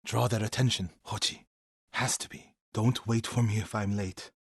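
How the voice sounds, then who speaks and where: Casting: a brave, solemn warrior monk for a video game; husky voice, close dry sound. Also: male voice, lowish voice, fairly even intonation, moderate pace, no room sound. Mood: grave, subdued. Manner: earnest, solemn, intense. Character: devout grieving warrior monk, brave and earnest adult man. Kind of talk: dramatic video game acting.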